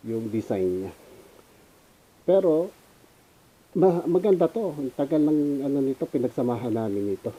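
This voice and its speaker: A man's voice: mid-range voice, animated, moderate pace, long pauses, dark tone, husky, low-quality recording. A Filipino man giving a casual, unscripted gear review as a motovlogger, informally mixing in English. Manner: informal, switches between Tagalog and English.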